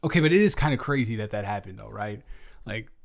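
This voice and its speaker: A man playing a flustered, evasive young roommate in an improvised audio roleplay, rambling and halting with theatrical exasperation. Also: on the low side, expressive, vocal fry. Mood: nervous stalling. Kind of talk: improvised intimate roleplay monologue.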